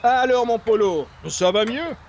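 A man acts out a folksy older Québécois joker from an old-time radio comedy. His voice is high for a man and crackles with gravel, and he talks in a teasing, colloquial way. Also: animated, measured pace, full character voice. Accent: Quebec French.

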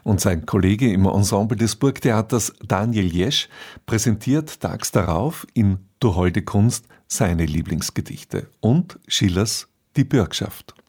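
A man's voice: lowish voice, lively intonation, takes it slowly, darkish tone, husky. A man presenting scripted programme announcements on the radio, composed and articulate.

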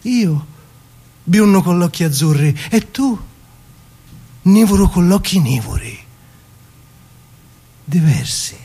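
A male actor plays a tender, simple-hearted Sicilian man telling his life story in a radio monologue. His voice sits high and swings widely in pitch. The delivery is childlike, halting and emotional. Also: big pitch swings, warm tone, breathy edge, full character voice. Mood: plain, reflective. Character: naive, tender, marginalised Palermo man recalling his childhood. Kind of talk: theatrical dramatic monologue for radio.

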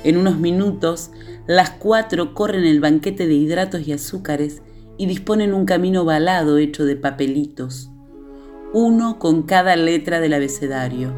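A woman playing the grave, measured narrator of a séance in a radio play, with an Argentine accent. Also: low-pitched, a little mellow, faint music behind, lightly acted.

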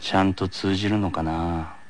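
Gloomy anime schoolboy athlete, played by a man: muttered, flat, dropped well down in pitch, over music. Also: normal speed, close to an everyday voice. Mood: gloomy muttering. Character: muttering, grumbling teenage tennis player boy.

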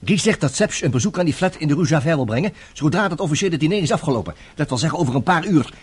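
An actor playing a brash, hot-tempered journalist who talks bluntly and sardonically in a radio drama. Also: male voice, a little high, animated, very fast, husky. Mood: urgent.

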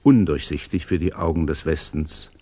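A man acting as a formal radio narrator, delivering a scripted epilogue in a measured, reflective manner. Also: a bass voice, dark tone.

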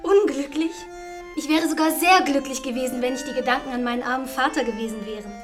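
An actress playing a cheerful, eager young daughter in a radio play. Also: female voice, high voice, fast, lightly acted. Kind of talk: radio drama acting. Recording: radio play with clear music.

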